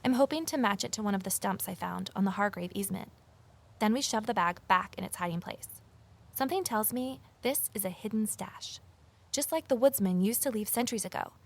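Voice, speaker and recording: A woman's voice: mid-range voice, fairly quick, natural voice. A woman playing an earnest, uneasy amateur investigator, confiding and intent as she narrates an audio drama. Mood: confiding, tense. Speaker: narrator and lead character.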